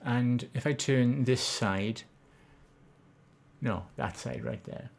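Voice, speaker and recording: Welsh accent, Swansea, live streamer, casual chat, self-deprecating, mid-pitched. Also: slow, gravelly. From Wales. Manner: chatty, self-deprecating, reads chat aloud.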